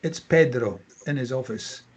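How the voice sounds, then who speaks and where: A man's voice: medium pitch, moderate pace, breathy edge. A man reading stage directions for a screenplay as scripted narration.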